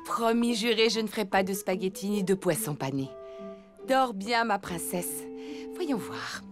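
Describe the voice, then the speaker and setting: A woman voicing a patient cartoon elephant mother who talks gently and reassuringly to her kids. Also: mid-range voice, animated, a little slow, over music, lightly acted. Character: elephant mother, warm, patient home cook.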